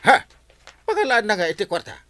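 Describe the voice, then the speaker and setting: An actor playing an exasperated middle-aged village captain in a radio comedy drama, full of exclamations. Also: male voice, high-pitched, huge pitch swings, a little fast, bright, forceful tone, exaggerated voice. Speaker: barangay captain.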